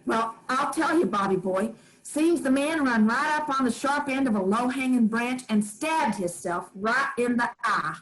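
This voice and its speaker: A woman's voice: on the high side, animated, moderate pace, few pauses, a bit bright, poor audio. A woman playing a folksy old grandmother who tells family stories in a drawling, homespun way. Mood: fond. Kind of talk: staged play reading.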